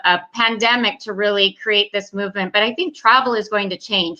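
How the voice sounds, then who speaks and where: Bright-voiced woman host on a muffled call. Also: mid-range voice. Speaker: host, travel advisor. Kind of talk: introducing a guest.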